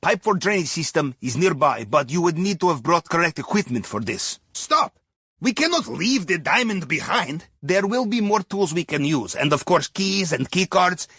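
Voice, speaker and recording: Wry, high-voiced Russian submarine captain. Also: male voice, sing-song, moderate pace, a bit bright, breathy edge, no room sound, studio quality, heavily acted. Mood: urgent. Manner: wry, conspiratorial, folksy asides. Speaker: mission guide giving instructions. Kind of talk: video game mission dialogue.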